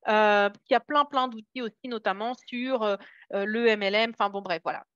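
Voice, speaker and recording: A woman's voice: mid-range voice, fairly even intonation, brisk, fairly bright tone, no room sound, muffled recording. A woman leading a casual motivational training session for her sales team, encouraging and hesitant, with many fillers and restarts. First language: French. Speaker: network marketing team trainer.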